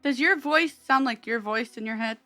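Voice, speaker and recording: A woman's voice: on the high side, moderate pace, pushed and bright, faint music behind. A woman talking casually and informally as a player in a tabletop game podcast.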